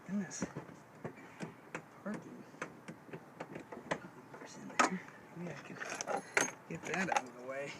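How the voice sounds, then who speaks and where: A man's voice: a little high, takes it slowly, long pauses. A middle-aged man giving a casual hands-on how-to, thinking aloud in a folksy way as he works inside a vehicle. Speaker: DIY demonstrator. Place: inside a parked Jeep. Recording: noisy handheld recording in a vehicle.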